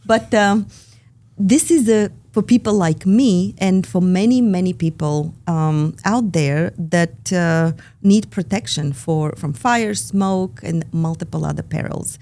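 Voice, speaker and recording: A woman with a Bulgarian accent, a podcast guest talking in an earnest way with frequent pauses in a studio. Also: fairly low pitch, dark tone. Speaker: operations lead, guest. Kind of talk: podcast conversation. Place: recording studio.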